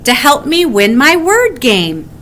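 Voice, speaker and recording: A woman voicing an excitable, delighted storybook owl with a whooping, exclamatory delivery. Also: sits high, takes it slowly, voice pushed up, exaggerated voice. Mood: thrilled. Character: excitable, delighted male owl who loves words.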